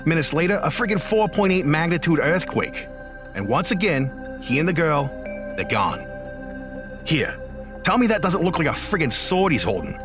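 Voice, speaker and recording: Animated, urgent American guy, played by a man. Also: a little high, normal speed, music in the background. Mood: urgent, amazed. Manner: emphatic, slangy, urgent. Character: excitable young male online sleuth recruiting a reluctant witness. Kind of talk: audio drama acting.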